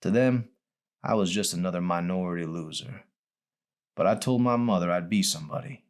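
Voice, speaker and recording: A man performing a raspy old man on his deathbed in an audiobook. He talks in a folksy, colloquial way, bitter at the world yet tender, handing down hard-won advice. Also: low voice, a little fast, close to an everyday voice. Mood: wistful, bitter. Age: older. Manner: colloquial, folksy, wistful preaching.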